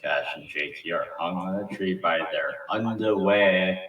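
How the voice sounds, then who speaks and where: Deep-voiced man narrating in a roomy space. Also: a little slow, muffled recording. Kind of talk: amateur scripted narration at table read. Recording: roomy, distant mic with faint noise.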